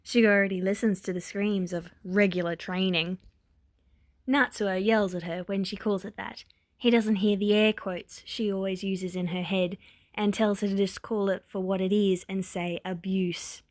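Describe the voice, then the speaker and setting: A woman narrating a written story with expressive, wry, exasperated emphasis. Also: medium pitch, normal speed, no echo, studio quality. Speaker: podfic narrator reading fan fiction.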